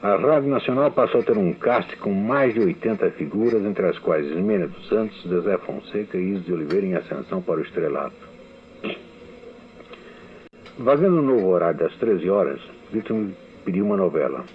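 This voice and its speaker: Low-voiced man recounting memories on old radio. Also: lively intonation, a little fast. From Brazil. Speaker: radio novelist giving a testimony. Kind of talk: archival spoken memoir. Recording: tinny lo-fi archival radio recording.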